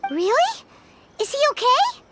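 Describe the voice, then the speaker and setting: An actress voicing a small, excitable and caring fairy companion in a video game, childlike and expressive. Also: female voice, extremely high pitch, a little slow, background noise, exaggerated voice. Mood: concerned. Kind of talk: cartoonish video game voice acting. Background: music.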